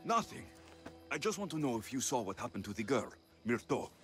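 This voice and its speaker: An actor playing a plain-spoken heroic mercenary in a video game, with a direct, questioning manner. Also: male voice, mid-range voice, big pitch swings, fairly quick, faint music behind, close to an everyday voice. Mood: exasperated. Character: heroic Greek mercenary, plain-spoken, helpful, quick to exasperation. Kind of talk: video game cutscene acting.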